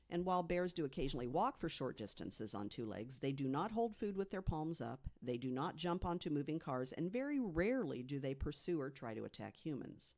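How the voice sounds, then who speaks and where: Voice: mid-range voice, darkish tone. A podcast panelist reading prepared mystery stories aloud, with conversational asides.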